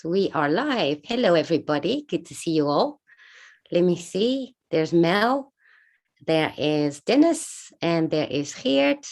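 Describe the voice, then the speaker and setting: A woman's voice: lowish voice, creaky. A woman hosting a live online show, chatty, greeting viewers and introducing her guest with many fillers. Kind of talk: casual live-stream hosting.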